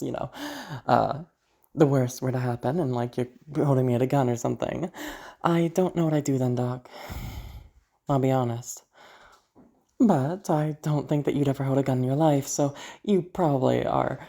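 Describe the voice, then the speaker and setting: A woman playing a crazy, seductive female patient in an audio roleplay, teasing and menacing, with halting, drawn-out phrases that drop toward a hush. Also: low-pitched, vocal fry. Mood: calculating, playful. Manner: teasing, halting, drawn-out words with sudden hushed drops. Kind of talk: audio roleplay monologue addressed to listener.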